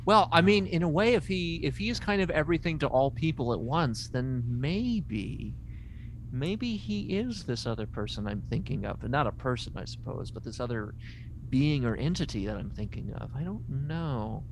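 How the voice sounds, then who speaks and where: A man's voice: medium pitch, animated, normal speed, background noise, close to an everyday voice. A man playing an eccentric, domineering, unqualified therapist in improvised comedy, coaxing and rambling with mock authority. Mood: musing. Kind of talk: improvised comedic character acting.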